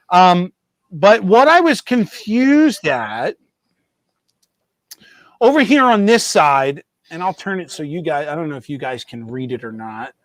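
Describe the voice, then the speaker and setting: Man, high-pitched voice, casual rambling host, self-correcting, joking, dry close sound. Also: no room sound. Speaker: host of a model railroad show. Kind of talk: casual live-stream chat.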